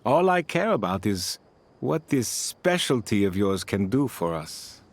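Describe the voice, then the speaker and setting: A man playing a weathered, kindly desert band leader in a game, speaking in a folksy, fatherly and unhurried way. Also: fairly low pitch, big pitch swings, slight background noise. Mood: firm, calm. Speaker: voice actor in a game. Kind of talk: dramatic game voice acting.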